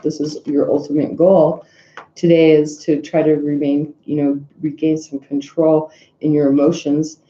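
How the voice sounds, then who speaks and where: A nasal, congested woman talks through a daily tarot reading in a loose, rambling way, humming to herself between cards. Her voice is very dark and mellow. It sounds dull and lo-fi, in an echoey room. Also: low-pitched. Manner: rambling, filler-heavy, hums to herself. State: congested sinuses. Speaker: tarot reader.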